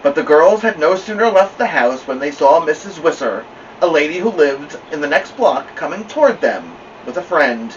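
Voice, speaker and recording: Animated man reading a storybook aloud. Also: a little high, normal speed. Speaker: storybook reader. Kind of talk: expressive read-aloud narration for children. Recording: distant mic, slightly dull, faint noise.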